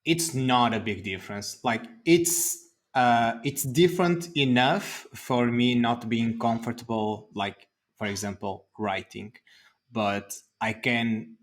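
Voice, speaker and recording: A man's voice: medium pitch, slow. A man from Portugal with a Portuguese accent, a native Portuguese speaker, hosting a podcast and chatting casually with frequent "like" fillers. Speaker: podcast host.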